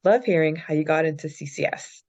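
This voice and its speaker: A woman's voice: low voice, a little fast, a little mellow, husky. A woman hosting a casual podcast, informally leading the segments. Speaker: podcast host. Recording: slightly dull, lo-fi audio.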